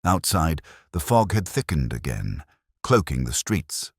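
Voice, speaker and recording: A man with a very deep voice narrates a story in a close, dry sound. His delivery is solemn and dramatic, with lively rises and falls in pitch. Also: a little slow, no room sound. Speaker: audiobook narrator.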